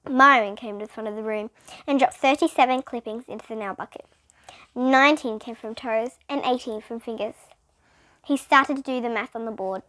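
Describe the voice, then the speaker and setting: A female reader giving an expressive, dramatic read-aloud of a children's storybook. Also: fairly high pitch, no room sound. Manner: animated, dramatic reading. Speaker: reader of children's bedtime books.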